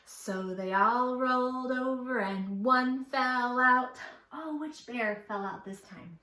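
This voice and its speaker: A woman's voice: high-pitched, slow pace, sharp tone. A woman leading a children's storytime, talking in an exaggerated singsong way as if to small children. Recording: echoey room.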